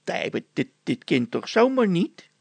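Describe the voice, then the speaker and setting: A man's voice: sits high, sing-song, a little slow, creaky, husky, dry recording, pitched above the performer's own voice, full character voice. A man voicing an irritable, scheming workman who scolds and exclaims indignantly in a storybook. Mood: protesting.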